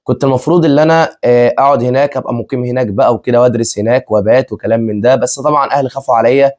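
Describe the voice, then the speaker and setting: A man's voice: mid-range voice, fairly even intonation, very fast, darkish tone. A man in his twenties with an Egyptian Arabic accent, chatting casually with viewers as a family vlog host, repeating himself and laughing often. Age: 20s. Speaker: vlogger, channel co-host.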